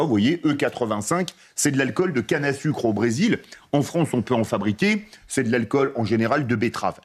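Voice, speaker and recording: Husky emphatic man commentator. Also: mid-range voice. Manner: didactic, emphatic, rhetorical questions. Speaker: broadcast commentator. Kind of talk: opinion commentary.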